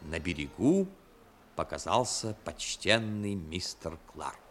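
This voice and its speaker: Мужчина-рассказчик выразительно читает художественный текст в манере радиоспектакля 1960-х. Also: male voice, sits low, lively intonation, takes it slowly, a bit bright, slight background noise. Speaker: narrator. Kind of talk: scripted dramatic storytelling, 1960s radio. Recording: 1960s radio with music behind. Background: music.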